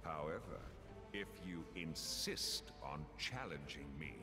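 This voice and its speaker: A man's voice: very deep voice, lively intonation, takes it slowly, full character voice. A man playing an arrogant, grandiose conquering supervillain in a video game, savoring his words with mocking pomposity. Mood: mocking confidence. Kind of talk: video game cutscene acting. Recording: loud music behind the voice.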